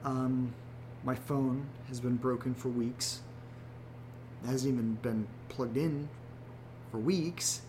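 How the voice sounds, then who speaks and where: A man's voice: mid-range voice, steady tone, slow pace, darkish tone, slight background noise, lightly acted, poor audio. A man playing a young guy in a horror audio drama who talks sarcastically to his listeners and rambles with fillers. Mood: sarcastic. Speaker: narrator and protagonist.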